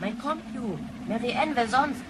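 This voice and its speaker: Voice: medium pitch, expressive, moderate pace, noisy background, close to an everyday voice. A young performer playing a cheeky grandchild from the future in a science-fiction radio play, slangy and scornful. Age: child or young teenager. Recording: music behind the voice.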